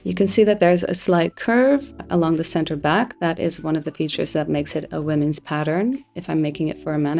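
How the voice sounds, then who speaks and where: A woman whose first language is Dutch, a pattern designer calmly explaining a sewing project step by step with occasional fillers. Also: low voice, vocal fry. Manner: calm, explanatory, with frequent "uh" fillers. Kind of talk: sewing tutorial.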